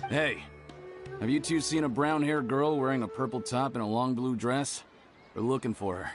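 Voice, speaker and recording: A man's voice: mid-range voice, moderate pace, natural voice. An actor playing a brusque adult man hunting for someone, curt and urgent, with game music behind.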